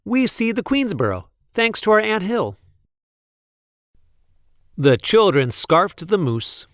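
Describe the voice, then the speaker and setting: Guy reading a kids picture book, kinda high voice, really expressive, takes his time. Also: no room sound, studio quality. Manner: deliberate, playful emphasis on repeated sentences. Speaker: read-aloud storyteller.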